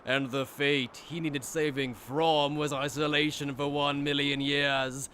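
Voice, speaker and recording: A man playing a smug, higher-voiced noble villain. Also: flat delivery, a little slow, a bit bright, a little hiss or hum. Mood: smug, taunting. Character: smug, mocking aristocratic male villain. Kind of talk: anime fan dub acting.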